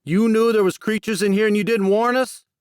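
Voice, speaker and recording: An angry, hardened hunter, acted by a male audiobook narrator, confrontational and forceful. His voice pushes higher as he barks demands, and the recording is dry and close. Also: steady tone, fairly quick, smooth, no echo, close to an everyday voice. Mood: furious, demanding.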